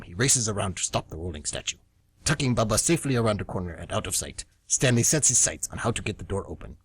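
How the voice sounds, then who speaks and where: A man with a husky voice reading scripted, dramatic story narration for a radio theatre show. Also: on the low side, fairly bright tone, dry recording. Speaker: narrator and co-host.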